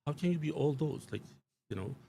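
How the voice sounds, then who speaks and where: A man's voice: fairly high pitch, lively intonation, a little fast, gravelly, warm tone, a little hiss or hum. A man giving his views on politics in a live studio talk, emphatic and rambling, with many fillers and restarts. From Turkey. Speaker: show guest commentator. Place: small studio.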